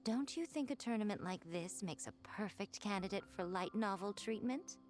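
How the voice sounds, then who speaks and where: A woman's voice: mid-range voice, lively intonation, normal speed, natural voice. A woman playing a poised, teasing, self-assured chief editor in a video game, speaking in elegant phrases. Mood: amused, teasing. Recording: music behind the voice.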